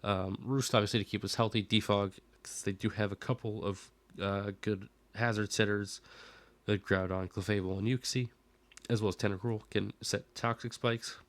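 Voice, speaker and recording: A man's voice: on the low side, steady tone, moderate pace, no room sound. A young man who is a little sick, casually walking through his team for a competitive league match, thinking aloud with fillers and self-corrections. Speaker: Pokémon league player and video host. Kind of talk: casual team breakdown commentary.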